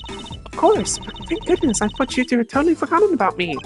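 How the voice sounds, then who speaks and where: Lady doing a peppy earnest teen girl thief for a game, high voice, music clearly playing behind. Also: fairly quick. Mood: cheerful. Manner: perky, earnest, expressive. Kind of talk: voiced reading of video game dialogue.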